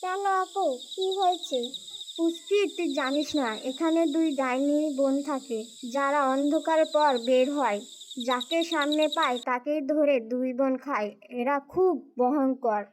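A woman voicing a clever village child in an animated fairy tale, with a childlike manner. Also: high voice, unhurried, background noise. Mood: frightened.

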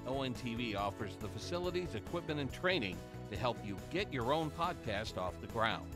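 A man reads a scripted promo announcement at an even, steady pace. Clear music plays behind his voice the whole way through. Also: medium pitch, normal speed.

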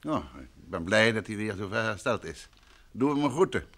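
A man's voice: fairly low pitch, sing-song, moderate pace. An actor playing a courteous, self-assured male detective in an old Dutch radio play. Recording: vintage radio recording with noise.

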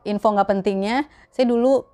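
A woman's voice: medium pitch, measured pace, a little mellow, slight background noise. Young Jakarta woman chatting casually. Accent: Betawi Jakarta Indonesian. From Jakarta, Indonesia. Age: young adult. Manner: chatty, colloquial. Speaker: vlogger answering viewer questions. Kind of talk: casual chat to camera. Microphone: clip-on lapel mic.

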